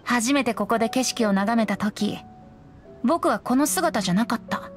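A woman voicing a youthful, boyish wind spirit bard in an anime game, gentle and wistful, with lyrical storytelling phrasing. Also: fairly high pitch, normal speed, soft background music, lightly acted. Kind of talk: anime video game voice acting, poetic narration.

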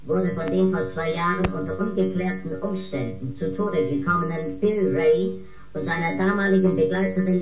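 Echoing, impassive ship computer. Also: female voice, fairly low pitch, vocal fry. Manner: formal, detached announcing. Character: impassive female ship computer, coolly official. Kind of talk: science-fiction radio drama acting. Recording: reverberant, music underneath.

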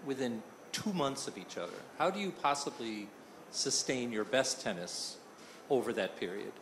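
A man's voice: medium pitch, lively intonation, normal speed, a bit bright, slight background noise, low-quality recording. A man working as a journalist, putting a question at a press conference. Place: press conference room indoors.

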